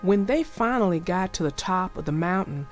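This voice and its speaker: A woman narrating a children's story as a scripted read-aloud, in a deliberate, expressive way. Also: fairly low pitch, faint music behind.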